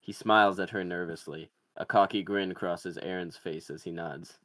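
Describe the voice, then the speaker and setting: Low-voiced man narrating a script. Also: steady tone, normal speed, a bit bright, no echo, studio quality. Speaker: narrator reading stage directions. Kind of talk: scripted narration at a film table read.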